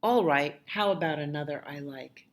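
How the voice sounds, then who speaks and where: A woman voicing a patient, affectionate grown-up who humours a small child in a picture book, gentle and reassuring. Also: low-pitched, normal speed, close to an everyday voice. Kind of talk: picture-book dialogue read aloud.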